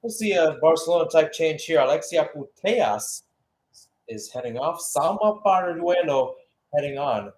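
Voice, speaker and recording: A man's voice: fairly high pitch, expressive, moderate pace, darkish tone. A man giving live radio-style football play-by-play, mixing calls of the action with conversational analysis, hesitations and self-corrections. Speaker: play-by-play commentator.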